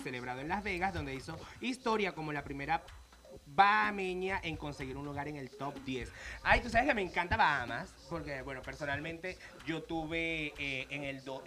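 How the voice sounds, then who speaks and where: Bright-voiced enthusiastic man. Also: on the high side. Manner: enthusiastic, gushing. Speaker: makeup artist, co-host. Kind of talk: casual pageant chat. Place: small studio set. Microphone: handheld mic.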